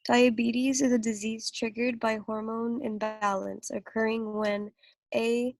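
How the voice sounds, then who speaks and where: A woman student reading a quiz question aloud in an online class, slow and hesitant. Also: on the high side, little pitch movement, vocal fry, a little mellow. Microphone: video call.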